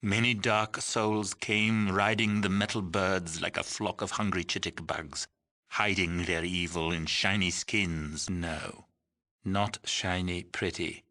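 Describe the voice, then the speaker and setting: A man playing an old, mystical village shaman in a video game, speaking solemnly and poetically in a husky, breathy, ceremonious way. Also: low-pitched, steady tone, bright, forceful tone, exaggerated voice. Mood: weak, grieving. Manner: slow, ceremonious, poetic phrasing. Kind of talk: dramatic video game character acting.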